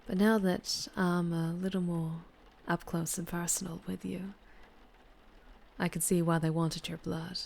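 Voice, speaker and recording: A slow-talking, low-voiced vampire biker with a Southern US drawl , played by a woman. She is flirtatious and protective, speaking softly and intimately in an ASMR roleplay, often sinking toward a whisper. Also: level tone, slight background noise. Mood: teasing, reassuring. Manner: soft, intimate, often dropping into breathy whispers.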